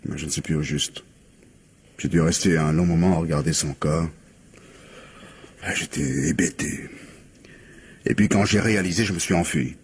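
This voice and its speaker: An actor playing a cornered, defeated murder suspect in a French radio crime play, weary, halting and defensive as he protests his innocence. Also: very deep voice, breathy edge, exaggerated voice. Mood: weary confession.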